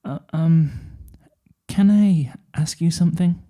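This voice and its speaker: A man voicing a shy, timid, soft-spoken cartoon girl who stammers hesitantly in a story reading. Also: a little high, slow pace, pitched above the performer's own voice. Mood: hesitant concern. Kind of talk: fan fiction story reading.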